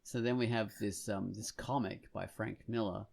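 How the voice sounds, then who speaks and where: Male podcast co-host, lowish pitch with plenty of vocal fry, conversational, musing in loose phrases. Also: steady tone, normal speed, darkish tone. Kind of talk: casual discussion.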